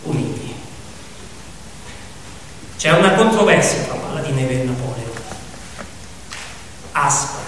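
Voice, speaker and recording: An actor playing a grave, dramatic male narrator of a farm fable, speaking in deliberate, emphatic phrases as in radio theatre. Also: mid-range voice, lively intonation, fairly bright tone, slight background noise. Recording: very echoey hall, distant, music. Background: music.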